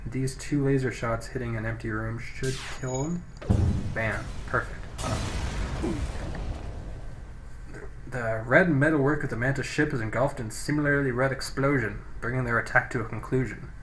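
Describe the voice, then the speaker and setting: A man's voice: medium pitch, normal speed. A man doing casual game commentary, thinking aloud with some hesitation and reading on-screen text out, recorded on a poor, noisy microphone. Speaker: gameplay video host. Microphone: low-quality microphone.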